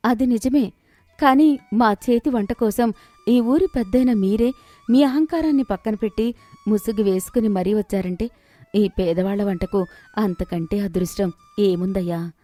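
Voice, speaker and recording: A woman's voice: medium pitch, moderate pace, warm tone, no room sound, close to an everyday voice. Humble, kindly village wife voiced by a woman storyteller, earnest and deferential, moral-story cartoon, dry recording with music. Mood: reassuring, respectful. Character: kind, humble, respectful poor village wife. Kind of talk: animated moral story acting.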